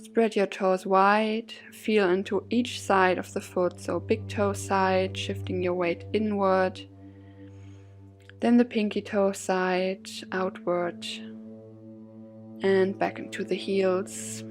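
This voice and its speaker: A woman leading a guided yoga and gratitude class as instructor, soothing and measured in her phrasing. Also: mid-range voice, flat delivery, soft background music. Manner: soothing, gently measured phrasing with breath cues.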